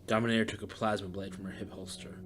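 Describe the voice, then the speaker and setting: A man narrates a fiction audiobook in a fairly low voice. He reads scripted prose, and music plays clearly behind him. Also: little pitch movement, fast.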